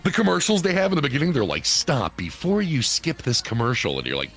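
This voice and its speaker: A man in his late thirties, co-hosting a podcast in casual chat, animated and profane, often asking "you know what I'm saying". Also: mid-range voice, brisk. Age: 30s. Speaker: podcast co-host.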